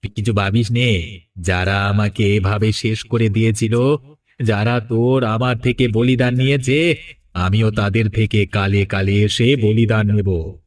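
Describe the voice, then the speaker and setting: A man's voice: lowish voice, fairly even intonation, measured pace, close to an everyday voice. A man voicing a menacing, vengeful undead cannibal sorcerer in a Bengali horror cartoon, with a theatrical threatening manner. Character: menacing flesh-eating undead sorcerer ghost, vengeful father.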